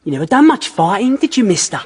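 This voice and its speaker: An actor playing a taunting, brawling thug in a radio drama, with a rough husky voice, a working-class English accent and sneering street talk. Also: male voice, sits high, big pitch swings, fast talker, darkish tone, heavily acted. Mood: sneering menace.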